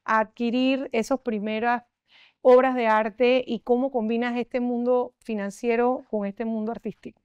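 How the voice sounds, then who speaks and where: A woman in her forties hosting a studio TV interview, asking polished and lively questions on a lapel mic. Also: a little high, moderate pace, smooth, no echo, muffled recording. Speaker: TV interview host. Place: TV studio set.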